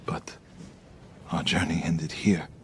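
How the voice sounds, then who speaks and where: A man playing a weary, grieving warrior monk in a game drama, speaking in a halting, somber and respectful way. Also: a bass voice, level tone, a bit bright, slight background noise, full character voice. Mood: grieving, haunted. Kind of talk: cinematic game drama acting.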